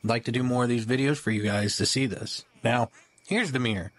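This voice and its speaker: A man's voice: mid-range voice, fairly quick. A man hosting a gaming video, giving casual update commentary in a conversational, rambling way.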